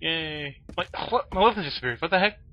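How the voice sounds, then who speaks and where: A man's voice: fairly high pitch, expressive, fairly quick, dull audio, a crowd in the background. A man giving casual let's play commentary, playful and sarcastic, often in short muttered asides. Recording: game gunfire and ambience behind voice. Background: video game sound effects.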